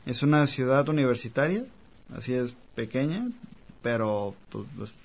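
Male guest, casual and talkative, full of fillers, mid-pitched. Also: animated, moderate pace. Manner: chatty, rambling, lots of fillers. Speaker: guest, cellist. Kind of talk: casual interview chat.

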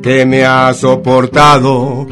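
A man, a singer-songwriter guest singing a heartfelt ballad in a slow, sincere way. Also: mid-range voice, level tone. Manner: slow, heartfelt sung delivery. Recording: guitar accompaniment behind voice.

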